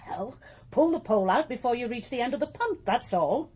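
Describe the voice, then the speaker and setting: A kindly old English landlady of a country inn in a radio play. Her voice is husky and very animated in pitch. She speaks plainly, with homely good humour. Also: fairly quick. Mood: practical. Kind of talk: naturalistic radio drama acting.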